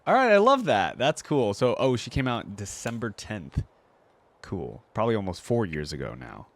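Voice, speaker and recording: A man's voice: on the low side, very animated, normal speed, no echo. A man giving casual, informal, enthusiastic live commentary as a reaction video host. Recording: faint music behind the voice.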